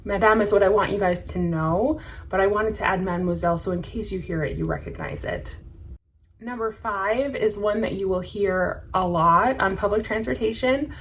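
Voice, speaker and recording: An American woman, a French instructor, explaining words to camera in a patient, measured way and slowing to repeat each word carefully. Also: medium pitch, expressive, a little hiss or hum. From United States.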